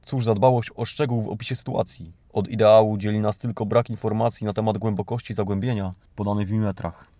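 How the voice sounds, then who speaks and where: A man's voice: lowish voice, fairly even intonation, fast, hardly any pauses, mellow, no echo. A man from Poland hosting a podcast, delivering a satirical scripted monologue in an ironic, mock-grandiose, theatrical way. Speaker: podcast host. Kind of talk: satirical scripted monologue reading and mocking news items.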